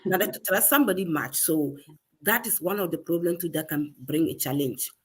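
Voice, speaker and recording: A woman with a Ghanaian accent talks through business challenges in an earnest, repetitive way, stopping and restarting as she goes. Her voice sits a little low and has a slightly husky, breathy edge. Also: normal speed. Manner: earnest, repeats herself, many restarts. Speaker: guest. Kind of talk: casual conversation about business.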